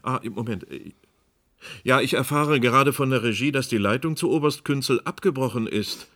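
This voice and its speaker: A man's voice: medium pitch, normal speed, a bit bright, close to an everyday voice. An actor playing an insistent radio presenter in a German radio play, formal and pointed as he presses his guests. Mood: pressing. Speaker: radio host. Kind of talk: German radio play as live panel programme.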